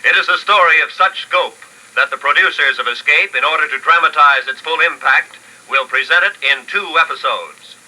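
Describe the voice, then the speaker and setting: A man's voice: a little high, normal speed, pushed and bright, low-quality recording. A man announcing a 1950s radio drama in a formal, dramatic scripted style on a vintage recording.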